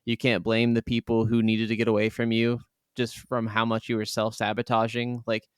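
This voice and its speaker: A man co-hosting a gaming podcast in casual chat, animated and free with swearing. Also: lowish voice, normal speed, clean tone, no echo, studio quality. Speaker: podcast co-host.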